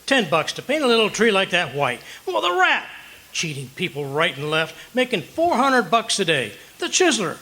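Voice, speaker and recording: A man's voice: sits high, wildly swooping pitch, moderate pace, bright, forceful tone, full character voice. A man playing a blustery, stubborn, penny-pinching husband in an old-time radio comedy, with indignant, folksy exaggeration. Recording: live stage performance with background noise.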